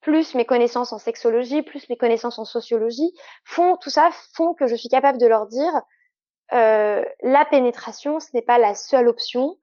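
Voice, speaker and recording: Higher-voiced woman, muffled dry sound. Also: normal speed, warm tone. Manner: earnest, explanatory, frequent fillers. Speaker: sexologist guest. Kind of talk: casual interview conversation.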